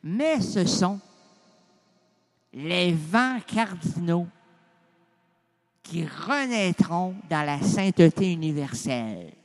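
A man's voice: high voice, big pitch swings, extremely slow, pauses often. Playful Québécois man hosting radio. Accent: Québécois French. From Quebec. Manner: theatrical, playful, jumps between registers. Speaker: radio show host. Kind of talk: improvised surreal radio banter.

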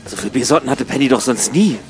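A man's voice: mid-range voice, lively intonation, quick pace, a little mellow, soft background music, close to an everyday voice. A man playing a flippant, grumbling, beer-drinking slacker in a naturalistic radio play, arguing colloquially and sarcastically. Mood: indignant, chatty. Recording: noisy urban street ambience.

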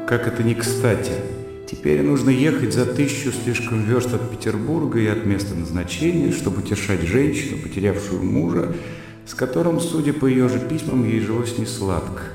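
An actor in a radio play voicing a reserved young scholar's musing, dry inner monologue. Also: male voice, mid-range voice, animated, normal speed, gravelly. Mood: annoyed, musing. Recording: heavy echo with music behind.

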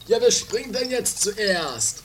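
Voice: mid-range voice, sing-song, slow pace, very noisy. A performer playing a self-important, blustering rich man in an improvised trashy comedy radio play. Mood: pompous. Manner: pompous, insistent. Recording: music under amateur 1980s radio play.

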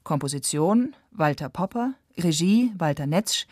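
A woman's voice: deep voice, expressive, measured pace, darkish tone, dry recording, clean studio sound. A woman radio announcer reading formal scripted closing credits.

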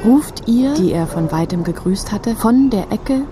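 A woman narrating a literary audio drama expressively, often repeating phrases and turning breathy at moments. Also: medium pitch, animated, measured pace, background noise.

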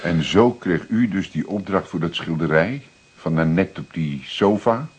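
Very deep, husky detective. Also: male voice, lively intonation, a little slow, exaggerated voice. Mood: probing. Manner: soothing, probing, short questions. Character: calm, patient male police detective questioning gently. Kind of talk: Dutch radio play acting.

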